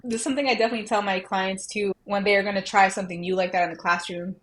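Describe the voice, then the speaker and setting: Colombian-born teacher lady chatting in an interview, lively, laughs a lot, says like constantly. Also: mid-range voice, racing. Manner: animated, laughs often, many "like" fillers. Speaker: educator and coach. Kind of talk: casual interview chat.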